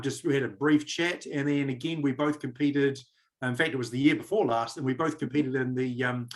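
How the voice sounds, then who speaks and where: A man's voice: on the high side, a bit bright. A middle-aged man with a New Zealand accent hosting a casual podcast chat, rambling and self-deprecating. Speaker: podcast host.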